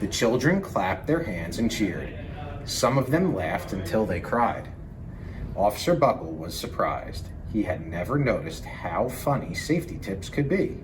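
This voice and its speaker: A man's voice: lowish voice, big pitch swings, measured pace, crowd noise, poor audio. A man reading a children's picture book aloud as narration. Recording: clear background noise.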